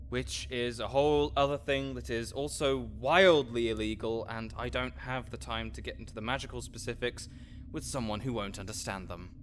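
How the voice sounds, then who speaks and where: A man's voice: medium pitch, fairly bright tone, a little hiss or hum, close to an everyday voice. A man playing a grouchy, scholarly necromancer in an audio roleplay, condescending, pedantic and sarcastic. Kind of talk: audio roleplay acting addressed to the listener.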